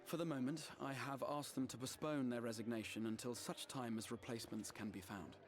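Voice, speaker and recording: A man's voice: mid-range voice, fairly even intonation, fairly quick, faint music behind, lightly acted. A man voicing a courteous, orderly fantasy official in dry, formal video game dialogue. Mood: businesslike concern.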